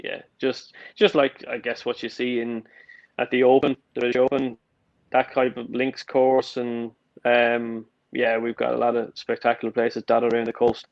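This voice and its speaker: A man's voice: medium pitch, monotone, moderate pace, no room sound. A man in his thirties with an Irish accent, talking casually over a video call as an interview guest, with lots of "look" and "yeah" fillers and restarts. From Ireland. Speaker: guest, golf company founder. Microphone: video call, built-in mic. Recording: dull lo-fi call audio.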